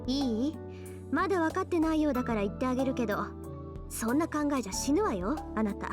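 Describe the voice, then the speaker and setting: A woman playing a sharp-tongued, composed teenage girl in a Japanese visual novel, brisk, scolding and haughty. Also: high-pitched, lively intonation. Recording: background music under game dialogue.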